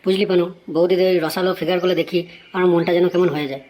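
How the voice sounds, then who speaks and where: A performer acts out a cheeky young male loafer from a comedy cartoon. He bullies and teases at a quick pace, with clear noise in the recording. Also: mid-range voice, flat delivery, darkish tone, natural voice. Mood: teasing. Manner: teasing, bullying. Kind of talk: amateur comedy cartoon dialogue.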